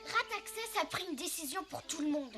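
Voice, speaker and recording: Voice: very high-pitched, expressive, brisk. A performer voicing a brave, earnest boy elephant king with a resolute manner in a French cartoon. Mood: determined. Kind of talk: French-dubbed cartoon acting. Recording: loud music behind the voice.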